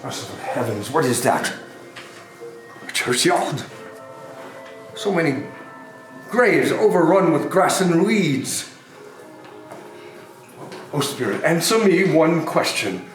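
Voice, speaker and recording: A man playing a remorseful old miser in a theatrical reading, halting, pleading and exclamatory. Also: a little high, sing-song. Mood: pleading, dread. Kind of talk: theatrical dramatic stage reading. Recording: roomy, echoing, music underneath.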